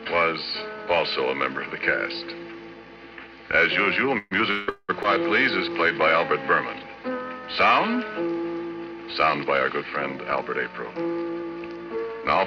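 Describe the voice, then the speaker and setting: Deep-voiced vintage radio announcer man. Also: animated, a little slow, pauses often, over music. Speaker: radio show announcer reading credits. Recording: muffled, noisy old radio recording.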